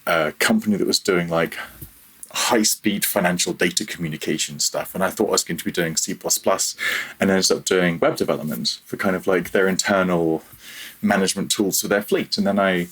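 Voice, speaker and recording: Low-voiced man, husky, chatting casually. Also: a little fast, a bit bright, rough recording. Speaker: podcast guest, audio programmer. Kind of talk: casual interview, telling own career story.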